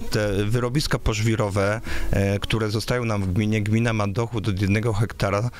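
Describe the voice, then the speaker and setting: A man's voice: on the low side, level tone, normal speed, a little hiss or hum. A man in his thirties, a local election candidate, answering interview questions in a radio studio with many hesitations and filler sounds. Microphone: studio microphone on a boom arm.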